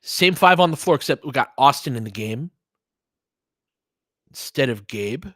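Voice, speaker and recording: A man's voice: medium pitch, expressive, moderate pace, a bit bright, dry recording, studio quality. A man giving casual live basketball commentary as a host, chatting informally with listeners and reacting like a fan.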